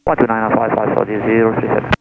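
Tinny fast-talking male pilot on radio. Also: lowish voice, completely flat delivery, vocal fry. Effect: aviation radio. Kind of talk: clipped radio readbacks. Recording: tinny, noisy aviation radio.

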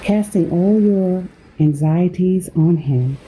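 Low, slow, mellow woman guiding sleep meditation. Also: a little hiss or hum, poor audio. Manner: unhurried, measured delivery with pauses between phrases. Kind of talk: guided sleep meditation with scripture reading.

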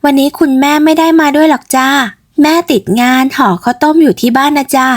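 A woman voicing a cheerful, polite young village woman in a storybook tale, with sweet, animated phrasing. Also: sits high, quick pace, voice pushed up, close to an everyday voice. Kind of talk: storybook character voice.

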